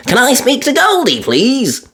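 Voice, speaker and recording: A woman voicing a cheerful, excitable little girl piglet from a children's cartoon storybook, eager and full of exclamations. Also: high-pitched, a little slow, husky, voice pushed up, exaggerated voice. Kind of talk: animated children's storybook character reading.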